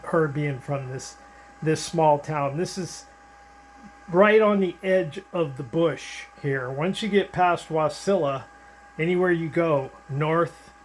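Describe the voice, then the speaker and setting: A man's voice: on the high side, takes it slowly, slight background noise, low-quality recording. An older American man chatting casually over ham radio in an easygoing, rambling, hesitant way with callsigns and handovers. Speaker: amateur radio operator. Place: indoors at his radio, filming it.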